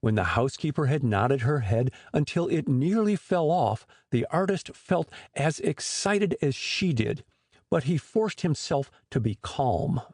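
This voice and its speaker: A man narrating a storybook audiobook in an expressive storytelling manner. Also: fairly high pitch, unhurried, no room sound, clean studio sound.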